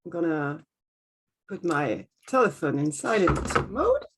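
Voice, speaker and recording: A woman with a French accent moderates an online panel over a video call. She introduces the speakers formally and politely, with a slightly halting delivery. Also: low voice, big pitch swings, background noise. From France.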